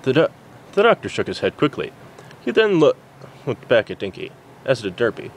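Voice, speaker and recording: Animated man reading a story aloud. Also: medium pitch, moderate pace, a little hiss or hum, rough recording. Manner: stumbles over words while reading. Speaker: amateur fan fiction reader.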